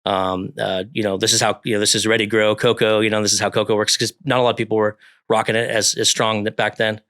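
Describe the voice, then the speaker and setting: A man's voice: fairly low pitch, level tone, fast talker, fairly bright tone, no room sound, clean studio sound. A man in his forties from Northern California, a podcast guest talking casually in a rambling way, with lots of fillers and restarts. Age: 40s.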